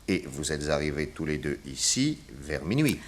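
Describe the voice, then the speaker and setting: An actor playing a skeptical private detective who questions courteously and insistently in a French radio drama. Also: male voice, very deep voice, sing-song, moderate pace, heavily acted. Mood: politely probing.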